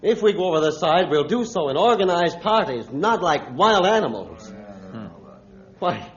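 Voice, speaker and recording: Steadfast ship's captain, vintage radio. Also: male voice, sits high, sing-song, moderate pace. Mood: stern, urgent. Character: stern, steadfast male ship captain facing down a mutiny. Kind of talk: 1950s radio adventure acting. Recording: dull lo-fi 1950s radio recording.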